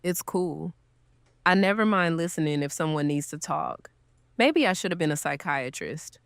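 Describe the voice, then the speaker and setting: A woman's voice: deep voice, animated, normal speed, vocal fry, dry recording, studio quality. A woman playing a kind, earnest newcomer's host in an audio drama, speaking casually and sympathetically. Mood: sympathetic. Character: kind, grateful woman welcoming a newcomer, gently guilty.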